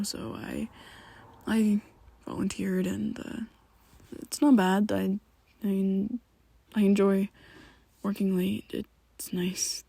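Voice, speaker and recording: A woman's voice: medium pitch, gravelly, dark tone, breathy edge, dry recording, full character voice. A woman voicing a young male vampire best friend in an ASMR roleplay, who talks hesitantly and half-whispers with nervous stammers.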